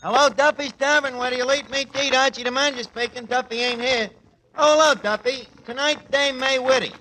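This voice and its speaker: A man's voice: extremely high pitch, steady tone, fairly quick, pushed and bright. A man playing a garrulous, streetwise tavern manager with a New York accent who mangles big words, in a 1940s radio comedy style. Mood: breezy. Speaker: comic lead of a radio comedy. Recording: noisy old 1940s radio recording.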